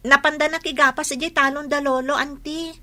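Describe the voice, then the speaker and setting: A woman's voice: high voice, steady tone, brisk, sharp tone. An actress with an Ilocano accent playing an earnest, respectful young female student in a radio drama. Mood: polite.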